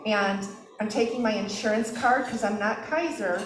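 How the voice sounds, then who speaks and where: A woman hosting a club meeting, chatting warmly with members and making announcements. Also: medium pitch, moderate pace, reverberant, far from the mic, noisy background. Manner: upbeat, encouraging interviewer. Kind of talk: casual meeting chat.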